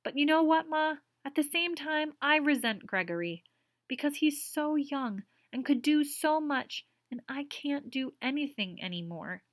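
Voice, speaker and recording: Distraught middle-aged female teacher, voiced by a woman, pleading, higher pitched, dry close sound. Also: expressive, measured pace, dry recording. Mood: distressed. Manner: pleading, emotional.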